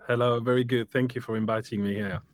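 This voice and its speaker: A man's voice: medium pitch, flat delivery, fast, no room sound. Spanish-accented man telling his story. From Spain, Seville. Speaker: guest, interior design studio director. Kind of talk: conversational interview answers on a live stream.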